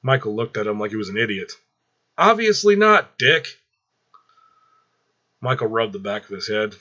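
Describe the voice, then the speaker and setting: A man's voice: lowish voice, steady tone, fast talker, a bit bright, muffled recording. A man narrating a written story aloud as a storyteller.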